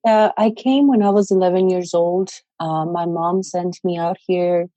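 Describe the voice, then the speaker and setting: A woman's voice: on the low side, fairly even intonation, unhurried, mellow, dry recording. A woman, a guest telling her story on a podcast interview, earnest and plain-spoken with many fillers. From El Salvador. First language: Spanish. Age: 20s. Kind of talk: casual interview.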